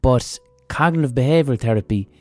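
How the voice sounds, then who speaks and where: A man's voice: mid-range voice, fairly quick, a little mellow, no echo, faint music behind. Blunt, swearing Irish man. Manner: blunt and profane, thinking aloud. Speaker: podcast host. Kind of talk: casual opinion monologue.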